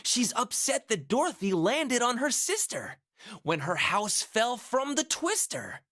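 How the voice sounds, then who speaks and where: Slow narrator reading story rhymes. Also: medium pitch, big pitch swings, bright, forceful tone, no room sound, studio quality. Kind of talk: scripted rhyming storybook narration.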